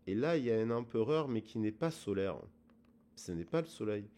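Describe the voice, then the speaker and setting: Hesitant, mellow-voiced man reading tarot. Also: on the low side, expressive, a little fast. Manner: rambling, hesitant, many fillers and restarts. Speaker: tarot reader. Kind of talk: casual spoken tarot reading.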